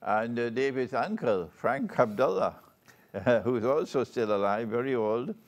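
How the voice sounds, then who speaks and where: An older man with a Trinidadian accent hosts an interview show, speaking in a formal, measured and deliberate manner. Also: mid-range voice, animated. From Trinidad and Tobago. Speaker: talk show host. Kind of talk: formal guest introduction and interview.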